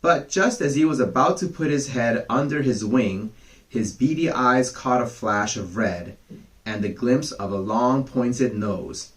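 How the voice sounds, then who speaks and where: A man reads old fables aloud as bedtime stories for children. He is gentle and chatty, stopping for casual asides to his young listeners in a mid-range voice. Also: medium pitch, a little slow. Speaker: storyteller.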